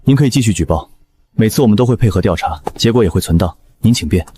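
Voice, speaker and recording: An actor playing a calm, understated, quietly steady veterinarian in a scripted drama. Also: male voice, fairly low pitch, fast talker, slightly rough. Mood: dry, composed.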